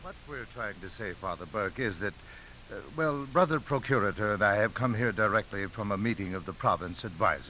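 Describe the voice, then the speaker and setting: A man's voice: lowish voice, lively intonation, brisk, faint music behind, natural voice. An actor playing an apologetic, tactful senior clergyman who speaks hesitantly in a 1950s radio drama. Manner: hesitant, soft-pedalling. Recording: hissy, muffled 1950s radio recording.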